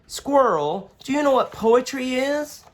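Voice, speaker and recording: A woman's voice: high voice, lively intonation, unhurried, pitched above the performer's own voice. A woman voicing a polite, curious little boy in a children's storybook.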